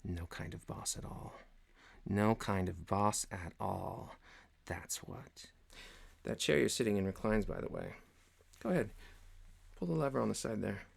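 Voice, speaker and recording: A man playing a stern, pompous office boss in an ASMR roleplay, speaking formally and deliberately with theatrical pauses. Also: fairly low pitch, gravelly, slightly rough, full character voice. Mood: calm, instructing. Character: stern, pompous male office boss, mock-solemn, paternal. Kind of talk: scripted ASMR roleplay monologue.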